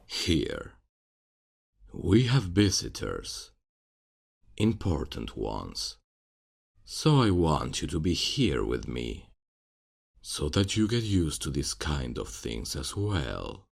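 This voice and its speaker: A man acting a kindly, fatherly old archmage in a fantasy ASMR roleplay, speaking in a gentle, solemn, measured way with a gravelly, husky voice. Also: extremely low pitch, sing-song, heavily acted. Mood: gentle, instructing. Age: older.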